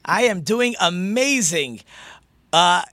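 A man's voice: high-pitched, expressive, slow pace, sharp tone, no echo. A man, a rabbi, hosting an interview show in a friendly, chatty way.

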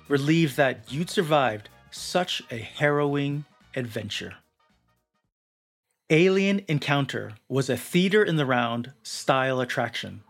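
Higher-pitched man narrating dramatically over music. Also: a bit bright. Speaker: narrator of a feature article. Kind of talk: scripted documentary narration. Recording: music bed under the voice.

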